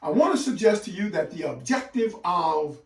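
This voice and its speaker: In an echoey room, a man presents like a teacher addressing parents. His voice sits fairly high and swings widely in pitch. He leans on key words and sets them apart with deliberate, dramatic pauses. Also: expressive, faint music behind. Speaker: literacy educator hosting a read-aloud session for parents. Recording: echoey room, distant microphone.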